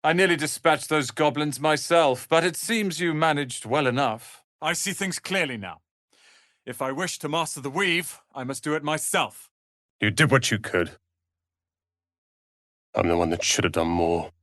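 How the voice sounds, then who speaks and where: A man's voice: on the high side, expressive, normal speed, a bit bright, dry recording, clean studio sound. A man playing a proud young wizard's apprentice in a fantasy video game, speaking in a pompous, articulate, theatrical manner. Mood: haughty, irritated, then sombre, remorseful.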